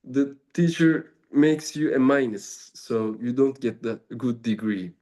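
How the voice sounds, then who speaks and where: Turkish-accented man, mellow tone, halting unscripted teaching talk, non-native English. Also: mid-range voice. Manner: halting, searching for English words, drops Turkish fillers. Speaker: Sufi mentor and speaker. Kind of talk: informal spiritual talk.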